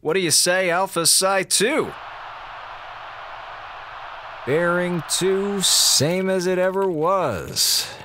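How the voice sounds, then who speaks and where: An actor playing a sarcastic, theatrical slacker communications officer on a space station in a radio drama. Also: male voice, high voice, slow pace, noisy background. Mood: wry, drawling. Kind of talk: radio drama monologue as a log.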